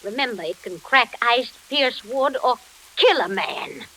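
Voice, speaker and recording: A woman's voice: on the high side, lively intonation, unhurried, sharp tone, rough recording. An actress playing a steely, motherly wife in a 1940s radio thriller, cool and precise. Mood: cool, threatening. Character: steely motherly wife who threatens with knitting needle. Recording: hissy old radio recording.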